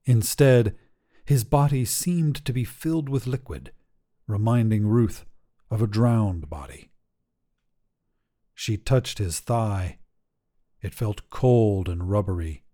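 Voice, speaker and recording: Low, mellow male story narrator. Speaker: fiction podcast narrator. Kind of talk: scripted short story narration.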